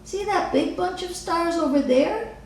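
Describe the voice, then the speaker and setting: Lady doing a wistful little kid for a storybook, really high n eager, super echoey room. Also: animated, unhurried, a little mellow. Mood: tender, hopeful. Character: earnest, wistful little girl who loves her mother. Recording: echoey room, distant mic, faint noise.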